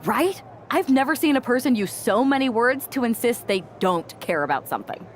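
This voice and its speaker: A woman's voice: a little high, expressive, moderate pace, a little hiss or hum, lightly acted. A woman playing an amused, gossipy wrestler friend in casual video game cutscene dialogue. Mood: amused, teasing.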